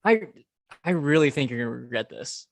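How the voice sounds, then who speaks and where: A woman's voice: a bass voice, expressive, brisk, full character voice. A woman reading the part of a supportive boyfriend who is a doctor, eager at first and then hurt and stammering.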